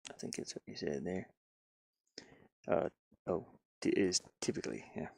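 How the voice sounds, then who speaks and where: A man giving casual, halting live commentary as he works on game art, muttering and thinking aloud. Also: low-pitched, gravelly, breathy edge, no echo. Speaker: streamer and hobby game designer.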